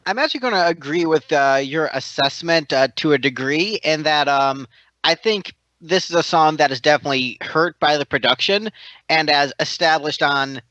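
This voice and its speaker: A man chats casually as a podcast panelist, his voice a touch high and quite bright. He jokes around and stumbles through frequent ums. The sound is dull and lo-fi with no room echo. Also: normal speed. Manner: jokey, hesitant with frequent ums. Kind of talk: casual chat.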